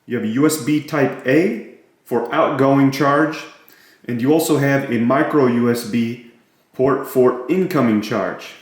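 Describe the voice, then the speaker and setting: A heavily echoing room surrounds this man's voice, which sits at an average pitch. He talks like a hands-on product reviewer, casual and matter-of-fact, naming one feature after another. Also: unhurried. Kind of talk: casual hands-on gadget walkthrough. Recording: very echoey, roomy sound.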